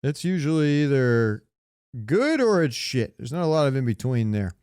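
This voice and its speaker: A man with a smooth, clean voice and a mid-range pitch, recorded close and dry. He talks as a podcast co-host in loose, sweary, casual banter. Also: very animated, normal speed, a little mellow, no room sound. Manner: informal, sweary. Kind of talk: casual banter about films and pop culture.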